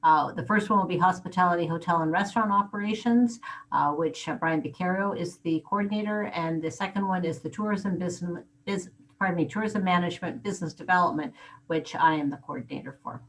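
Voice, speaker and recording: A woman with a slightly low-pitched voice, presenting as a program coordinator. She lays things out in an orderly, measured way, correcting herself smoothly when she slips. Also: fairly bright tone, poor audio. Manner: organized, measured. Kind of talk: presenting at online info session.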